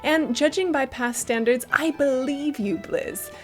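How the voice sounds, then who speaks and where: A woman's voice: sits high, unhurried, soft background music. A woman giving chatty, enthusiastic and emphatic scripted commentary as the host of a gaming news show.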